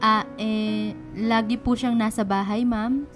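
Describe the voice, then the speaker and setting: Humble laundress, slightly high voice, even melody, deferential, hesitant, radio drama. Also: female voice, slow, music in the background. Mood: polite, uneasy. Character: polite, hesitant mother working as a laundress.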